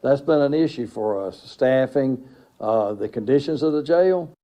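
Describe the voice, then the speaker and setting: A man's voice: mid-range voice, expressive, measured pace, mellow, highs cut off. A man, an official giving spontaneous answers in a news interview.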